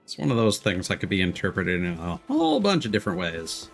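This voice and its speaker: Man, low voice, game streamer, casual musing commentary, close mic, faint music behind. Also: wildly swooping pitch, quick pace, vocal fry. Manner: musing, thinking out loud. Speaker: streamer playing a video game. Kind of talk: casual commentary, sometimes reading game text aloud.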